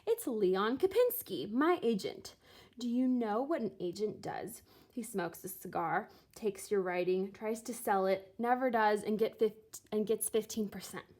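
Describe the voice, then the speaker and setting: A woman reading a storybook aloud with expressive, dramatic and deliberate delivery. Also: mid-range voice. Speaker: teacher reading a picture book aloud.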